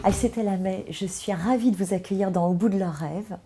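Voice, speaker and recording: A woman's voice: medium pitch, brisk, over loud music. A woman hosting an interview, asking friendly questions with frequent hesitations. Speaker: host.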